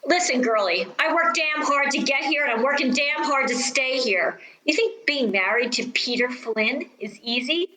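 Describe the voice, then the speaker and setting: An actress playing a haughty, seductive vaudeville-born stage star in a radio play, theatrical and condescending. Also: female voice, high-pitched, expressive, moderate pace, few pauses, bright, forceful tone, roomy sound, rough recording. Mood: hard, defiant. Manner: theatrical, drawling, condescending endearments.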